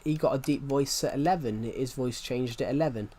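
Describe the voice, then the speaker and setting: A young man in his twenties talks through a livestream in a loose, rambling way. His voice sits at an ordinary middle pitch, and he circles back to restate each phrase before pushing on. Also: fairly quick, dark tone. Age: 20s. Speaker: streamer. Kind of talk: rambling casual livestream chat.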